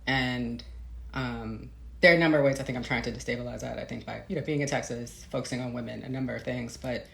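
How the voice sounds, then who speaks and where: Female interviewee, author type. Crackly vocal fry, thoughtful and searching delivery, reverberant room sound. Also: rumbling low, fairly even intonation, fast. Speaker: interviewed novelist. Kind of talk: reflective conversational interview. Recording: roomy, echoey sound.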